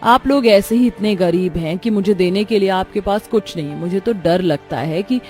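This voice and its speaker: A greedy, lazy young daughter-in-law in a village story, acted by a woman. She sounds self-serving and complaining. The tone is mellow, with faint music behind. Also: mid-range voice, normal speed, close to an everyday voice. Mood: scornful.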